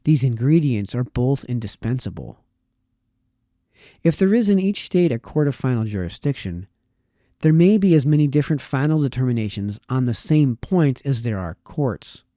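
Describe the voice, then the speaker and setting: Mellow man reading aloud, dry sound. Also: mid-range voice, expressive, no room sound. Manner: measured, formal read with occasional stumbles. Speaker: reader. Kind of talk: reading aloud a historical political text.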